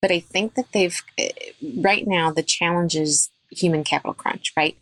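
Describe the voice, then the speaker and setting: A woman dentist speaking as a podcast guest, with a somewhat low voice. She talks in an emphatic, advice-giving way. The sound is dry and close, with no room echo. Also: normal speed, a bit bright. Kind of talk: podcast interview.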